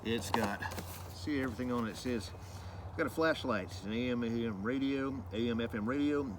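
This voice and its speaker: A man's voice: medium pitch, brisk, noisy background. A man giving a casual hands-on product review, explaining things informally and loosely with some repetition.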